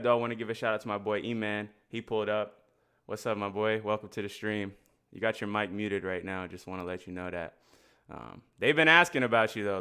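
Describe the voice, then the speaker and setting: A man's voice: fairly low pitch, bright, forceful tone, smooth. A man hosting a live chat room in a casual, slangy and encouraging manner.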